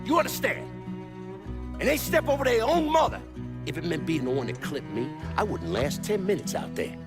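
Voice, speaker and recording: Older American crime patriarch, bitter and commanding, wide swings in pitch, game drama with music behind. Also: male voice, sing-song, normal speed. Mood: agitated. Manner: profane, brooding, commanding. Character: bitter, cornered older male mob boss and father. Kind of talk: dramatic game cutscene acting.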